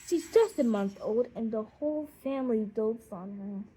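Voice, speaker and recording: A woman narrating a children's audiobook in an expressive storytelling manner. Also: fairly high pitch, moderate pace, breathy edge, low-quality recording.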